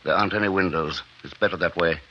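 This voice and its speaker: A driven scientist in a vintage radio drama, his low voice tense and forceful. He speaks in intense, clipped phrases, proud and secretive, through a muffled recording with light noise. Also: quick pace. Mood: guarded, matter-of-fact. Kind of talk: 1950s radio drama acting. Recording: muffled, noisy 1950s radio recording, clicks.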